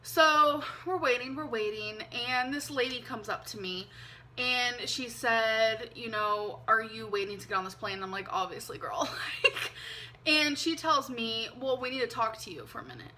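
A woman in her twenties telling a personal story to camera from her bedroom, chatty and animated, with lots of ums and likes. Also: a little high, moderate pace, slight background noise. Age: 20s. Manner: chatty, animated, frequent "um" and "like", hand gestures. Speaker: vlogger. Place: bedroom, indoors. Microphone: camera mic, seated facing camera.

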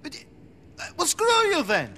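An actor playing a frustrated, excitable, hard-luck immigrant cab-office boss in a video game, whining and complaining. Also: male voice, extremely high pitch, moderate pace, noisy background, heavily acted. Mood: hesitant, sheepish.

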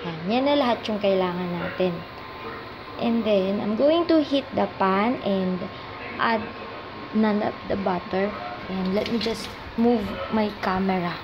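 A woman's voice: mid-range voice, a little slow, highs cut off, background noise. A young Filipino woman with a Filipino accent, hosting a casual cooking tutorial in her home kitchen, switching between English and Tagalog with frequent ums. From Philippines. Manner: mixes English and Tagalog, hesitant with many ums. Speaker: cooking vlogger.

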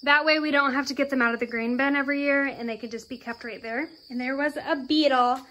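High-voiced young woman vlogging in a barn. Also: fairly even intonation, fast talker, pushed and bright. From Iowa. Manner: chatty, walking and pointing while explaining. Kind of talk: casual vlog narration. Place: old barn on a farm, indoors and outdoors. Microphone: handheld camera close to the face.

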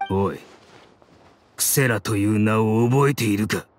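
An actor playing a stern, loyal mercenary in a video game, giving terse commands. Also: male voice, fairly low pitch, measured pace, soft background music, close to an everyday voice. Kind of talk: Japanese game voice acting.

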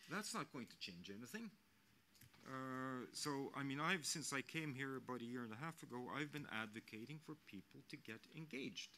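A man in his sixties speaking as a mission official on a panel, measured and earnest, often restarting his sentences, into a desk microphone in a press hall. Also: mid-range voice, lively intonation, pushed and bright, slight background noise. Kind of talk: unscripted panel remarks.

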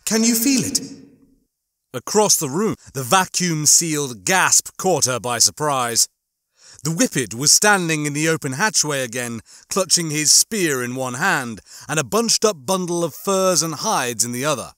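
Man narrating audiobook, slightly high voice. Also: animated, moderate pace, pushed and bright, dry recording, clean studio sound. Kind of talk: dramatic scripted narration of a horror sci-fi novel.

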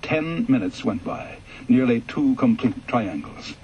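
A man reading a ghost story aloud for radio in a measured, calm way, going soft and low in the reflective passages. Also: dull audio, slight background noise. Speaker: story reader.

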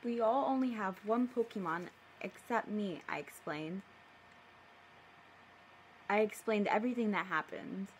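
A woman's voice: mid-range voice, unhurried, long pauses, slight background noise, low-quality recording. A young woman casually reading her own story aloud on camera, breaking off for playful, mock-indignant asides. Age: young adult. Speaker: video creator. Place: living room at home, indoors.

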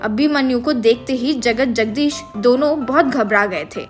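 High-pitched woman narrating a story. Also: measured pace. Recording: music behind the voice. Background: music.

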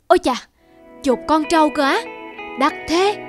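A woman's voice: high-pitched, moderate pace, faint music behind, close to an everyday voice. A woman voicing a stingy, bossy middle-aged male landowner in a cartoon folk tale, grumbling and commanding. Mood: hesitant, curious. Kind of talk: animated folk tale acting.

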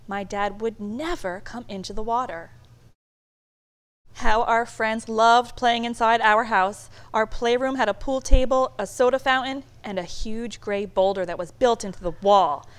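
A woman reading a children's storybook aloud in a measured, expressive storytelling manner. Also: a little high. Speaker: storybook reader. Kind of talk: read-aloud children's book narration.

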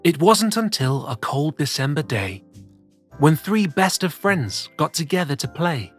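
Husky man reading a children's story. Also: a little high, very animated. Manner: theatrical, deliberate rhymed reading. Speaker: storyteller host of a children's read-aloud show. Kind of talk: expressive children's story narration. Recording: soft music bed behind the voice. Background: soft music.

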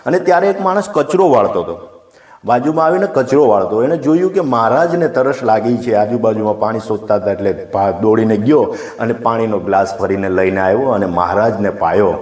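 A man's voice: lowish voice, fairly quick, a little mellow. A man with a Gujarati accent hosting and telling a moral story to viewers in an informal, explanatory way, repeating phrases for emphasis. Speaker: storyteller and channel host. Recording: echoey, roomy sound.

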